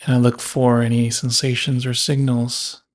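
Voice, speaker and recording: A man guiding a body scan meditation in a measured way, leaving long pauses between phrases. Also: medium pitch, flat delivery. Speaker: meditation guide.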